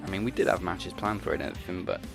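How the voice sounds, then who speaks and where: A man's voice: sits low, racing, vocal fry. A male podcast host casually announcing upcoming shows. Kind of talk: casual chat. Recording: music playing behind the voice.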